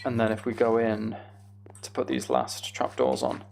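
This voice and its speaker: Casual gravelly male game streamer. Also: medium pitch, level tone, normal speed, a little mellow. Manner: muttering to himself while working things out. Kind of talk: casual live gameplay commentary, thinking aloud.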